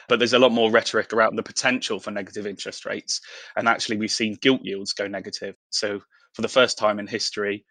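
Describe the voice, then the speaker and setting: A man's voice: fairly low pitch, pushed and bright, no room sound. A man speaking as an expert interview guest, explaining things conversationally. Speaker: investment professional, interview guest.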